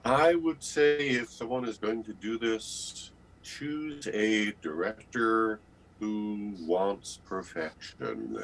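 Slow, low-voiced older man. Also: expressive. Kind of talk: panel interview answer. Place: indoor room, on a video call. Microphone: video call. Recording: slightly noisy video call.

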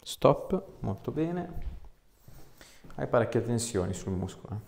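A man's voice: mid-range voice, sing-song, slow pace, vocal fry, darkish tone. A man, an osteopath chatting casually and giving short, reassuring instructions during an exam. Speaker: osteopath treating a patient. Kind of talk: casual clinical chat during a hands-on exam. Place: small indoor clinic room. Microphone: small mic clipped to scrub top. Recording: echoey small treatment room.

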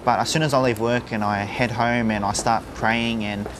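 A man's voice: mid-range voice, steady tone, normal speed, noisy background. A man, speaking casually with many fillers. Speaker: interviewee. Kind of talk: casual interview.

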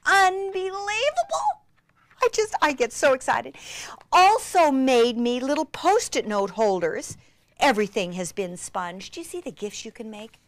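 Excitable, gushing woman demonstrating crafts. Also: on the high side, no echo. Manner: excitable and gushing, with breathy emphatic drops to near-whisper.

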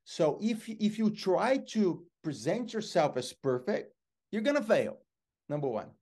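A middle-aged Brazilian man with Portuguese as his first language and a fairly high voice, telling lively stories as an interview guest. He is animated and expressive, speaking over a video call from a home office. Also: measured pace. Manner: expressive, gestures a lot, lively storyteller.

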